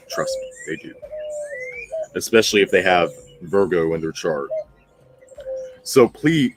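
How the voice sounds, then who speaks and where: Low-pitched man giving blunt commentary. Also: noisy background. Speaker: host of an astrology commentary channel. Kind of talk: astrology commentary, part read, part ad-libbed.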